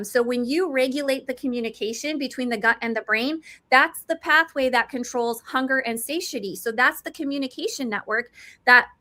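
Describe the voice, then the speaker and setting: A woman in her forties giving an eager, chatty product pitch about mushroom supplements, calling herself a nerd. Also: bright, forceful tone. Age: 40s.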